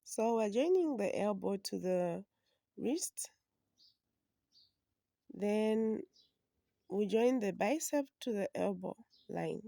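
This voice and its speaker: Hoarse woman teaching slowly. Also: medium pitch, lively intonation, dark tone, no room sound, clean studio sound. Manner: slow, patient instructions. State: hoarse from a flu. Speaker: sewing instructor. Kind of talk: step-by-step drafting tutorial.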